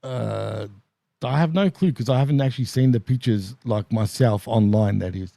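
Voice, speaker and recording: Laid-back Australian co-host. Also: male voice, mid-range voice, normal speed, creaky, mellow, dry recording. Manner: laid-back, laughs often, calls others bro. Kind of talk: casual wrestling review chat.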